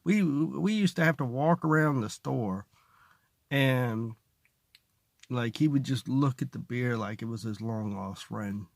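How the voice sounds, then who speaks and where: A man with a creaky voice giving a casual, rambling, sarcastic comedy monologue, recorded in a car. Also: mid-range voice, unhurried, dry recording, studio quality. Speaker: stand-up comedian, vlogger. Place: inside a parked car.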